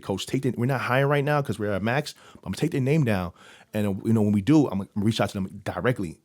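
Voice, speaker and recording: Fast-talking Jamaican-born coach, dry mic. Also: male voice, lowish voice, no room sound. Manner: energetic, repeats words, quick restarts. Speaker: youth mentor and coach. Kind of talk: casual interview talk.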